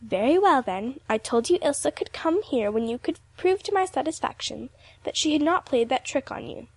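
A woman's voice: high-pitched, lively intonation, moderate pace, slightly rough, dry recording, exaggerated voice. A self-righteous, strict aunt from a storybook, put on by a woman narrator. The voice is raised and pompous, making grudging formal pronouncements. The recording is dry.

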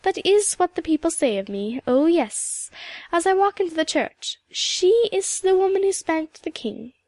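A woman reading a storybook, voicing a proud, dignified old widow with a Highland Scottish accent and a rich, emphatic delivery. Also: animated, unhurried, darkish tone, no room sound, heavily acted. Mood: firm, confiding. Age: older. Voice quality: rich, powerful. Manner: stately, emphatic, lilting turns of phrase.

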